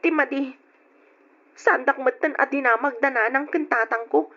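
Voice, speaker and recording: An actress playing a young, long-suffering but outspoken wife in an old radio drama, plaintive and reproachful, on a lo-fi recording. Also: female voice, high-pitched, moderate pace, fairly bright tone. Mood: defensive.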